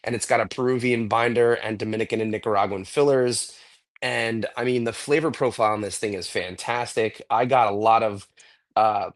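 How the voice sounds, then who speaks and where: Hoarse man talking cigars casually. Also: lowish voice, fairly even intonation, moderate pace. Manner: rambling, enthusiastic cigar talk with many fillers. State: getting over a cold, a bit hoarse and congested. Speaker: podcast co-host. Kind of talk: casual chat.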